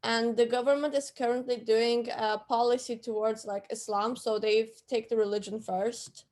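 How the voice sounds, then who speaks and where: Higher-voiced woman, casual podcast chat. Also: fairly even intonation, normal speed, a little mellow. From Turkey. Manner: rambling, hesitant with frequent fillers.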